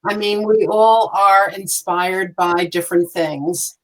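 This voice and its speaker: Slow-talking woman, meandering. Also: medium pitch, steady tone, rough recording. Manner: meandering, hesitant, many ums. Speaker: mosaic artist and panelist. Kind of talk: casual panel talk. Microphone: video call.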